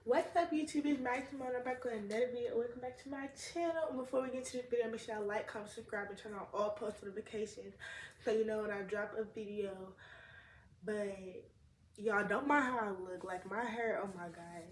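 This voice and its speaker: A woman's voice: on the high side, a little fast. A teenage girl with a slight lisp, chatting casually and rambling in slang as a vlogger, recorded on a tablet. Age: teens. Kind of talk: casual chat to camera. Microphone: tablet camera mic. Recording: echoey room, faint noise.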